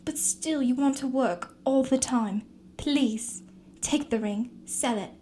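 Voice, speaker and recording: A woman's voice: high-pitched, slow pace, warm tone, a little hiss or hum. A woman in a radio drama playing a heartbroken young fiancée, earnest and reproachful. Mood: hurt, reproachful. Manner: earnest, emotional.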